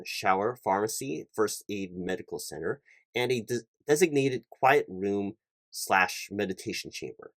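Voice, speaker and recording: Lowish guy doing asmr job interview roleplay, earnest hr manager type, stammers a bit, dry sound no room. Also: no echo. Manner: halting, stammering corporate earnestness. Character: earnest, slightly flustered corporate recruiting manager. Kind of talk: one-sided job interview roleplay, semi-scripted ASMR.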